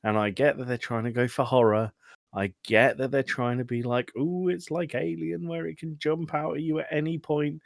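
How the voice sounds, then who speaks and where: A man's voice: on the high side, gravelly, no room sound, clean studio sound. A man hosting a casual film review chat in a blunt, sweary, exasperated way. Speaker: podcast host.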